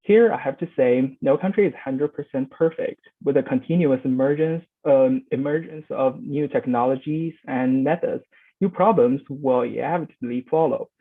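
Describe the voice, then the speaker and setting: A young man giving a prepared presentation, halting with frequent fillers and restarts. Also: mid-range voice. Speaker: youth presenter. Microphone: video call. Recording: phone-like video call audio.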